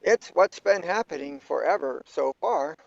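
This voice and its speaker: Man acting unfazed male character, slow delivery, highish creaky voice, casual audio drama, dull dry recording. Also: animated, dry recording. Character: Mike, laid-back matter-of-fact explaining man.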